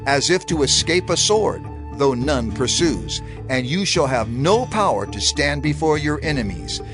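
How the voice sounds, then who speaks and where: Brief: male scripture reader, declamatory and lively in pitch, clear music bed underneath. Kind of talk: solemn dramatized scripture reading. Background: background music.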